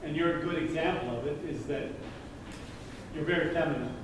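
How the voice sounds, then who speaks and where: An older man in the audience, speaking earnestly and haltingly with many restarts and repeated words. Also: on the high side. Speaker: audience member. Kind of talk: audience question, rambling. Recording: distant, echoey room with noise.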